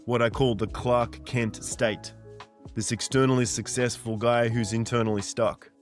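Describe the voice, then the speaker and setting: Man, 40s, earnest motivational coach voice, mid pitch, light music bed. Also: level tone, measured pace. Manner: earnest, direct, repetitive emphasis. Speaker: mindset coach. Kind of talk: motivational monologue.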